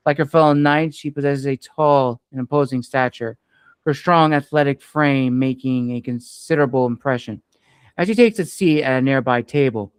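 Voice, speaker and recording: A man, the author, reading his own story aloud in a measured, expressive way. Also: mid-range voice, clear, resonant tone, no echo.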